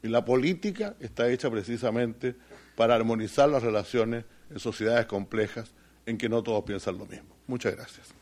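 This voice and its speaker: A man speaking on a conference panel at a mid pitch. His delivery is deliberate and explanatory as he rounds off his point. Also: a little mellow. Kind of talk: formal panel talk.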